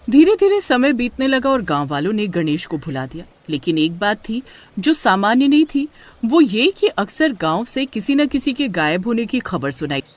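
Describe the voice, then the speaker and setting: A woman narrates a scripted horror story. Her voice sits at a middle pitch and moves up and down in an animated, storytelling way.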